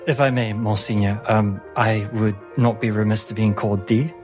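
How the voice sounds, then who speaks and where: A man's voice: fairly low pitch, fairly even intonation, moderate pace, darkish tone, faint music behind, natural voice. Man playing timid, stammering servant mystic woman. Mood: polite, flustered. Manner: hesitant, stammering, very formal and obsequious. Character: timid, deferential female servant mystic, anxiously eager to please.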